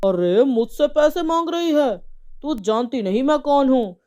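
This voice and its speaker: A man playing a brash, boastful neighbourhood gangster who threatens and bullies in an animated story. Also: very high-pitched, a little slow. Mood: boastful, threatening. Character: swaggering drunken male neighbourhood gangster, bullying and boastful. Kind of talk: acted dialogue in an animated moral story.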